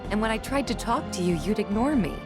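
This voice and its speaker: A woman's voice: medium pitch, lively intonation, fast, natural voice. Confiding young woman played by an actress, music behind. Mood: fond, teasing. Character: gentle, candid, self-critical young woman. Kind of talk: video game cutscene acting.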